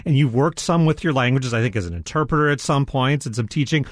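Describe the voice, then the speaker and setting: A man's voice: medium pitch, fast, no room sound, studio quality. A man hosting an interview, asking questions in a friendly, curious and self-deprecating way. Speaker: interview host. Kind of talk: conversational interview.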